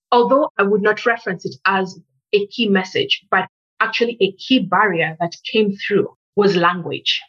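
Kenyan-born woman researcher, breathy voice, slow careful answers, kinda dull lo-fi sound. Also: medium pitch, a bit bright. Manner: measured, builds points in lists. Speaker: researcher interviewee. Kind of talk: reflective interview answer.

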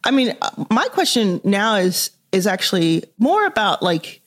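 A woman's voice: mid-range voice, sing-song, unhurried. Musing woman, thinking aloud. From Dallas, Texas, US. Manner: thinking aloud, frequent "like" and "you know" fillers. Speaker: podcast host. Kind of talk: casual conversational interview.

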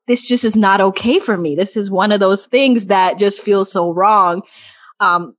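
A woman co-hosting a podcast, chatting in an animated way and laughing often. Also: mid-range voice, dry recording. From United States. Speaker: podcast co-host. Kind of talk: casual chat.

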